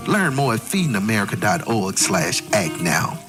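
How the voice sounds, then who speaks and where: Lowish-voiced earnest man over music. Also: very animated, normal speed. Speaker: TV host and chef, spokesman. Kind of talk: scripted public service spot.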